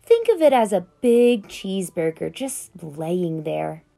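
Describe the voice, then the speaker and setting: A sly, smooth-talking wolf, voiced by a woman reading a picture book, spins his version of events in a chatty, conspiratorial way. The pitch leaps and dips dramatically from line to line. Soft music plays underneath. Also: big pitch swings, unhurried, heavily acted. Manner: chatty, conspiratorial storytelling with big swings. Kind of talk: children's picture book read aloud.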